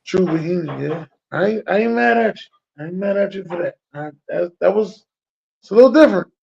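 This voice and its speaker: A man with an American accent and a fairly high voice chats as an interviewer. His delivery is laid-back and slangy, with repeated phrases and plenty of gravel. The audio is dull and lo-fi. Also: expressive, a little fast, darkish tone. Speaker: podcast host interviewing a guest. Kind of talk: casual interview chat.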